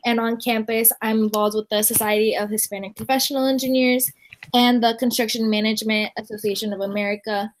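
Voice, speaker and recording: A young woman raised in Florida introduces herself in an earnest, steady way. Her voice sits somewhat high. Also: a little fast, a little hiss or hum. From Colombia, raised in Florida. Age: young adult. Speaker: student ambassador. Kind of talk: casual self-introduction.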